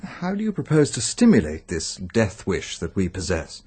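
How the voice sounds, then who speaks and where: A man's voice: sits low, wildly swooping pitch, moderate pace, mellow, slightly rough, heavily acted. A British actor playing a sceptical, guarded man who asks probing questions in a radio drama. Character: probing, sceptical man drawn to dark secrets.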